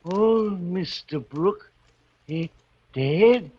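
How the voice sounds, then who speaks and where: A man's voice: high-pitched, expressive, drawn-out words, husky, heavily acted. An actor playing an old bell-ringer in a 1940s radio drama, crooning fervently in folksy archaic dialect about bells he treats as his children. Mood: grieving. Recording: muffled vintage radio broadcast with music.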